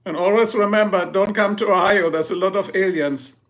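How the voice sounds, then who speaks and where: Male streamer, German accent, high-pitched voice, jokey and rambling, laughs a lot. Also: a little fast, a bit bright, a little hiss or hum. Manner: joking, rambling, laughs often. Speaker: livestream host. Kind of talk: casual livestream chat with viewers.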